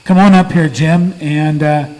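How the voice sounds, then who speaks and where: A man's voice: fairly high pitch, steady tone, measured pace, darkish tone. A man, likely the pastor, calling someone up to the front in a loose, informal way. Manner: loose, calling out across the room. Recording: distant from the mic, long church reverb.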